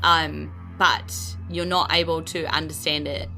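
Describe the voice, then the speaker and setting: A woman's voice: deep voice, flat delivery, a little slow, vocal fry, bright, forceful tone, faint music behind. A woman improvising narration as a game master, casual and a little hesitant as she thinks aloud. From New Zealand.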